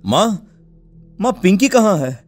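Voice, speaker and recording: A man's voice: high-pitched, sing-song, slow, soft background music, full character voice. A man playing an anguished village father in a dramatized story, plaintive and emotional. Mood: worried. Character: anguished village father searching for his daughter. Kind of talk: dramatized Hindi moral story.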